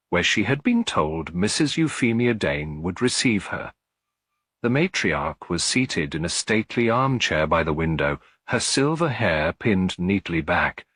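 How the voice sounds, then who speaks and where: A male narrator tells a story in a measured, literary way. His voice is low and a little husky, with an expressive rise and fall, recorded very dry. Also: no room sound. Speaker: audiobook narrator.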